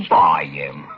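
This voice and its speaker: An actor playing a gruff, brutish London curio dealer with a Cockney accent, barking and sneering in old radio style. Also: male voice, very deep voice, highs cut off, exaggerated voice. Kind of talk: old-time radio acting. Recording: noisy vintage radio recording.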